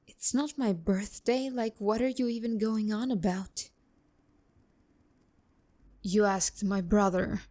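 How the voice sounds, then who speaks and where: A woman playing a haughty, teasing demon girl and bitter romantic rival in an intimate ASMR roleplay, sharp-tongued and slipping into whispers. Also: medium pitch. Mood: suspicious, surprised.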